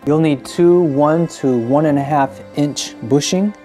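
A man's voice: on the high side, measured pace, dark tone, soft background music. A man giving scripted how-to narration as a DIY host, in a plain-spoken, instructional manner.

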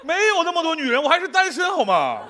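A man's voice: extremely high pitch, big pitch swings, quick pace. A man in his thirties performing as the exasperated straight man of a stand-up comedy duo on a large studio stage. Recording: noisy studio stage with loud crowd.

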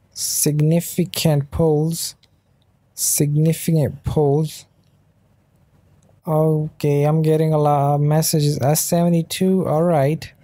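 A man's voice: fairly high pitch, gravelly, dark tone, no echo. A sleepy man, not a native English speaker, casually commentating a mobile game pack opening while trying out a put-on British accent. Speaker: mobile game channel host.

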